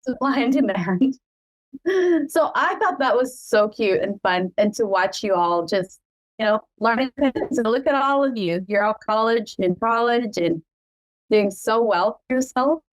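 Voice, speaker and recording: A woman's voice: fairly high pitch, unhurried, rough recording. A middle-aged woman whose first language is Cebuano, hosting a podcast in a chatty, proud way and laughing often. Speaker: podcast host. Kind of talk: casual family chat.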